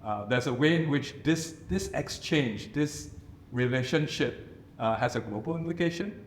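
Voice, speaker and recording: A man's voice: medium pitch, moderate pace, slight background noise. A man from Singapore, speaking thoughtfully on a panel and often restarting his phrases. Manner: thoughtful, restarts phrases, many "you know"s. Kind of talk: reflective panel discussion. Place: reception room at a residence. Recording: roomy live panel audio.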